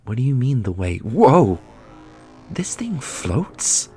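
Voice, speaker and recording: A man's voice: medium pitch, very animated, takes it slowly, warm tone, slightly rough, voice pushed down, full character voice. A man playing a blunt, skeptical, plain-spoken adventurer in a fantasy audio roleplay, with an incredulous, demanding manner. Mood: confused, demanding. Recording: music under the voice.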